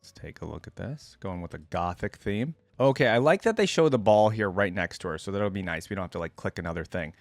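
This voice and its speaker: Low-pitched man, casual reaction commentary. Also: expressive, fairly quick, few pauses, no echo, soft background music. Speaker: reaction video host.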